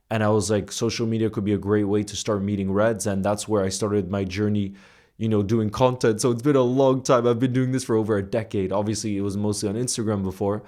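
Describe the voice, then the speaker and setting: A young man speaks in a low voice that stays fairly level in pitch. He tells a personal story to his viewers, earnest and reflective. He often restarts phrases and fills the gaps with "you know". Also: darkish tone. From Iceland and the United States. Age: young adult. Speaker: football content creator. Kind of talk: casual personal storytelling to camera.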